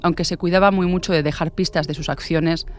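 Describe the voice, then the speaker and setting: A woman's voice: lowish voice, steady tone, a little fast, a little mellow, a little hiss or hum. A woman from hosting a podcast, reading scripted true-crime narration. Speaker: podcast host and author.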